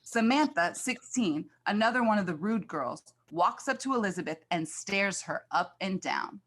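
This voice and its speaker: A woman's voice: medium pitch, lively intonation, normal speed, fairly bright tone, rough recording. A woman reading scripted stage directions as the narrator of a screenplay.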